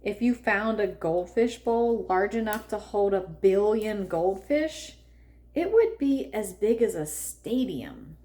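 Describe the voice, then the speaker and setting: Female read-aloud narrator for kids' storybook, medium pitch, unhurried measured delivery with expressive emphasis.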